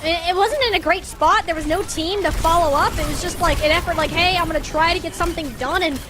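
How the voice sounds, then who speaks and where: A woman's voice: extremely high pitch, fast talker, background noise. A woman doing live play-by-play commentary of a video game match, in a playful, colloquial, exclamatory way. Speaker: esports caster.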